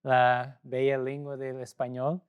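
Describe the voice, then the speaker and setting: A man from Portugal with a Portuguese accent, a native Portuguese speaker, preaching a sermon as a pastor in a measured way with pauses. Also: mid-range voice, monotone, clear, resonant tone. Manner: measured, pausing, speaking a second language.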